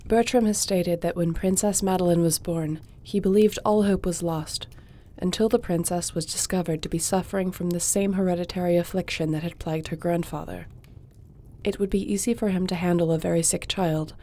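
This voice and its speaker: A woman playing a loyal court sorceress in a fantasy audio roleplay, speaking in a measured, formal, gently supportive way. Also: fairly low pitch. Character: loyal, composed court sorceress advising her king.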